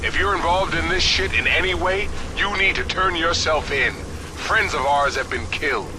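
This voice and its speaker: An actor playing a blunt, principled male mercenary in a video game, plain-spoken and steady. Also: on the high side, normal speed, background noise, close to an everyday voice. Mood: determined. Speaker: mercenary soldier. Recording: game cutscene with loud music.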